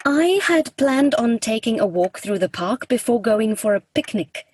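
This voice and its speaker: A woman playing an awkward, husky Grim Reaper. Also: on the high side, lively intonation, a little slow, no echo. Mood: earnest, unsure. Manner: stiff, formal, literal phrasing. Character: awkward, earnest, formal female Grim Reaper in love. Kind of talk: radio play acting.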